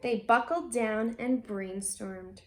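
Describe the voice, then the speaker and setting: A woman teacher giving a read-aloud lesson for children, expressive and deliberately stressing key words. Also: fairly high pitch.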